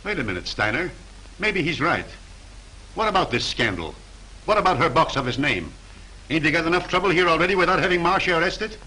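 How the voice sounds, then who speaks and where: A man's voice: on the high side, big pitch swings, brisk, sharp tone, low-quality recording. An actor playing a blustering male movie producer who talks in ungrammatical phrases, in 1930s film style, with faint hiss from an old soundtrack. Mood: fretful.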